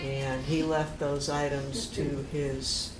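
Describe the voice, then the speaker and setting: A mature woman with an American accent, explaining family history casually and in detail, pausing to recollect. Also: rumbling low, little pitch movement, music in the background. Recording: echoey room with clear noise.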